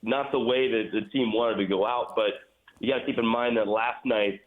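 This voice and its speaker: A man's voice: mid-range voice, fairly even intonation, normal speed. A man chatting casually as a guest sports announcer in an interview, over a phone line. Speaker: guest, radio play-by-play announcer for a pro hockey team. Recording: phone-like narrow band.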